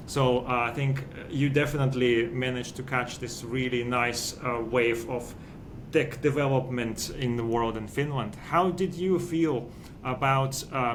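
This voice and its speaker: Male podcast host, chatty, mid pitch, noisy rumbling recording. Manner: chatty, laughs along, slightly halting. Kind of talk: casual podcast interview.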